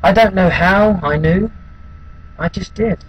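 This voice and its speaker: A man performing a dramatic monologue as a withdrawn, wounded outcast, speaking in a hesitant, subdued, halting way. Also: sits high, husky, muffled recording. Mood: subdued, pained. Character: withdrawn, wounded outcast recalling being bullied at school.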